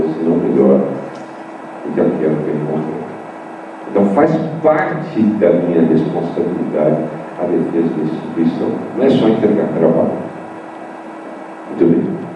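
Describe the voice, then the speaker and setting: A low-voiced older man speaks emphatically, answering his own rhetorical questions and repeating key points. He is picked up from a distance in a very echoey hall. The sound is dull, with steady noise behind him. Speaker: professor. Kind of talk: debate answer to a student. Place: large hall at a university meeting. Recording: distant echoey room mic, dull and noisy.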